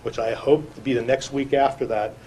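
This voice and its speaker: Halting man at a noisy public meeting. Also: medium pitch, fairly even intonation, dark tone. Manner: halting, long-winded, detail-heavy. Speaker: police chief. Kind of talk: formal explanation at a public meeting. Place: indoor public council meeting. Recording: clear background noise, room ambience.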